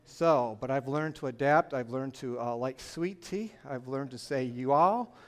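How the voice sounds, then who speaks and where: A man's voice: medium pitch, measured pace. An older man from Michigan with a northern Michigan accent, telling his personal faith testimony in an earnest, storytelling way with frequent "uh"s. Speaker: church testimony speaker.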